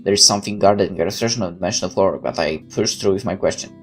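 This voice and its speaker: A man doing a let's play, reading game text aloud and adding chatty, expressive commentary. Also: deep voice, racing. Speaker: gaming YouTuber. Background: guitar music.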